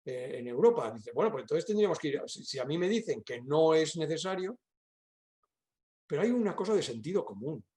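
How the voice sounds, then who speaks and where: A man's voice: fairly high pitch, big pitch swings, fairly quick, darkish tone. Older man, discursive Spanish speaker from Spain. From Catalonia, Spain. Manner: discursive, emphatic, frequent question tags. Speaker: university professor and guest speaker. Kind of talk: informal question-and-answer talk.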